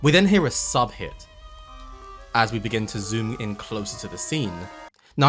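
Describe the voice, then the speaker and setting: A man narrating a video essay in an explanatory, measured way with emphatic phrasing and casual asides. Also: fairly low pitch. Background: background music.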